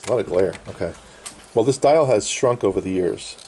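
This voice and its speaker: A man's voice: fairly low pitch, lively intonation, moderate pace, mellow, slight background noise. A middle-aged man casually explaining a hands-on repair at an indoor workbench in short, plain phrases. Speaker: vintage radio restorer and presenter.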